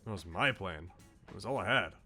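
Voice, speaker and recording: A man's voice: sits low, animated, a little fast, pushed and bright, soft background music. Man reading as a brash, slangy teenage slacker. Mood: wistful, frank. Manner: slangy, blunt, casual. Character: brash eighteen-year-old male slacker, insecure but loyal. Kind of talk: visual novel character read aloud.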